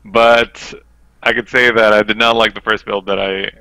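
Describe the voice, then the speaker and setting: A man's voice: lowish voice, steady tone, takes it slowly, pushed and bright, no echo, poor audio. A man giving his opinions casually as a guest on a gaming podcast. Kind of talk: casual chat.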